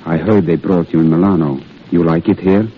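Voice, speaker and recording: An actor playing a weary, gentle army priest, halting and solemn, in an old radio drama. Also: male voice, low voice, steady tone, natural voice. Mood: weary, kindly. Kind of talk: 1930s-40s radio drama acting. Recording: muffled, noisy old radio broadcast.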